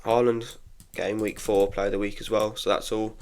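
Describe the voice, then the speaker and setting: Young man, bunged up with a cold, gravelly low voice, casual football chat, blunt opinions. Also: little pitch movement, normal speed, darkish tone, slight background noise. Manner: chatty, frank opinions with frequent restarts. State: congested from illness. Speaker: football podcaster. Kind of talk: casual solo football review.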